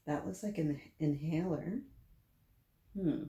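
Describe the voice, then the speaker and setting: Female children's story host, slightly low register, read-aloud with chatty, encouraging asides; echoing room recording. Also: slow, warm tone, highs cut off. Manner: encouraging, addresses listeners directly with simple questions. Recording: roomy, echoing room sound.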